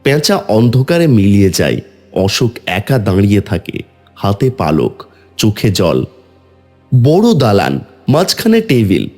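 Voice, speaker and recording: Deep, expressive male story narrator. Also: a little slow, pauses often, soft background music. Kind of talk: scripted dramatic story narration.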